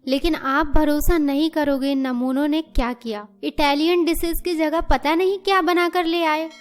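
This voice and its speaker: High-pitched haughty young rich daughter played by a woman. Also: fairly even intonation, normal speed, faint music behind, lightly acted. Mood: angry, contemptuous. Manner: haughty, peppers Hindi with English. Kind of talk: animated moral story dialogue.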